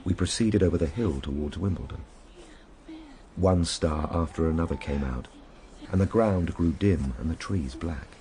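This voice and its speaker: An actor playing a reflective Victorian gentleman recounting his ordeal, in measured, formal radio drama narration. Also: low voice, natural voice. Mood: grave, reflective.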